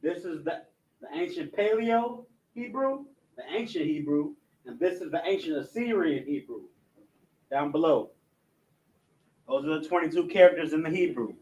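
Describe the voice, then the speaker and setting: A man's voice: on the high side, lively intonation, a little mellow, lo-fi sound. A man teaching a language class, speaking with frequent restarts and fillers, and reading out an alphabet letter by letter. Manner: halting, many restarts and fillers. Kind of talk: classroom lesson, reciting alphabet.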